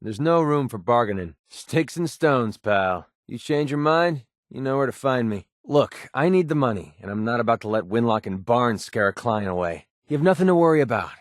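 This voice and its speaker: An actor playing a cynical, wisecracking young mercenary for a video game, with an American accent and a streetwise, informal delivery. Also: male voice, mid-range voice, animated, moderate pace, few pauses, dry recording, clean studio sound, close to an everyday voice. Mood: cocky, guarded. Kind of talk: video game companion dialogue.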